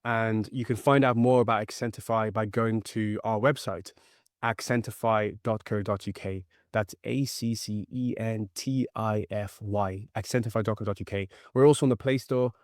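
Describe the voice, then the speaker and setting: A man's voice: mid-range voice, no echo, studio quality. A man speaking as a podcast guest in a conversational interview, earnest and emphatic as he gives advice. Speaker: podcast guest, actor and startup founder.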